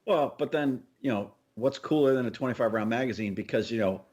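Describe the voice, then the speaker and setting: A man's voice: mid-range voice, fairly quick, a little mellow, highs cut off. A man co-hosting a podcast, talking in a casual, informal conversational way. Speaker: podcast co-host.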